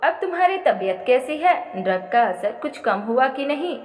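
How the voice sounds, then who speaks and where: A woman's voice: high-pitched, very animated, normal speed, reverberant, in a large hall, voice pushed up. A woman narrator voicing a worried, caring female assistant in an audio story. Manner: concerned, solicitous.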